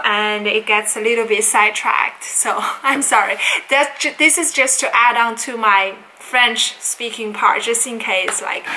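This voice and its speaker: Chatty young woman, bright voice. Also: a little high, slight background noise, low-quality recording. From China. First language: Chinese. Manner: chatty, rambling, with frequent fillers and restarts. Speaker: language vlogger. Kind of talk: unscripted casual vlog. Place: home study with bookshelves, indoors.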